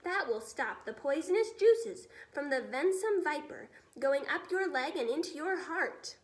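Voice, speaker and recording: A woman's voice: high voice, animated, a little slow. A woman voicing a kindly, bossy giant with folksy, mangled grammar in a children's storybook reading. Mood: reassuring, commanding. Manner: ungrammatical, folksy mangled words. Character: kindly big friendly giant, bossy and reassuring.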